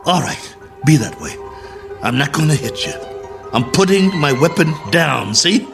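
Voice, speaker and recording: An actor playing a worn-out, injured and lonely crash-landed space colonel in a radio drama, talking in halting, emphatic bursts. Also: male voice, fairly high pitch, very animated, creaky, over music, full character voice. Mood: pleading. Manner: halting, repetitive, emphatic pleading and musing.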